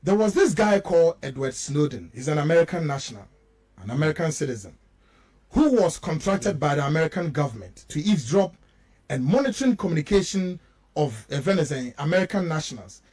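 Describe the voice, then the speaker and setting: A man's voice: fairly high pitch, sing-song, a little fast, darkish tone, no room sound. A Ghanaian man who speaks Twi natively, giving impassioned political radio commentary in an emphatic way, driving points home with rhetorical questions. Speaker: political commentator, studio guest.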